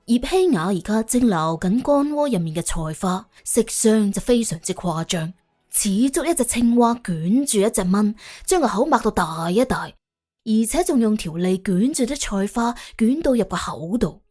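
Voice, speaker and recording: A woman tells a scripted story as an audiobook narrator. Her husky voice moves widely in pitch, with a wry, colloquial and expressive delivery. Soft music runs faintly underneath. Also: moderate pace.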